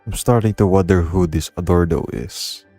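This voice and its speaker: A man playing a calm, terse young adventurer in a video game, speaking in an understated way. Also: low-pitched, moderate pace, soft background music. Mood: calm, curious.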